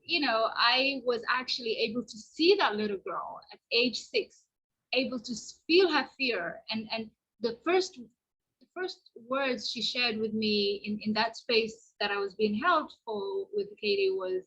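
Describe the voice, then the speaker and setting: Guest: Somali-native woman, earnest and reflective, bright husky voice, dull remote-call audio. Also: fairly high pitch, unhurried. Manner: earnest, reflective, many restarts. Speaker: podcast guest. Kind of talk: casual podcast conversation. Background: washing machine noise.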